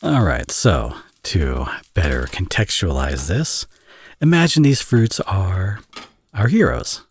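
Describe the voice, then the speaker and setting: A man's voice: deep voice, very animated, takes it slowly, breathy edge, heavily acted. A man playing a flirty, smug villain who dotes as a father, in a romantic ASMR audio roleplay with playful, conversational delivery. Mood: playfully sinister. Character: teasing, flirty male villain, now a doting father.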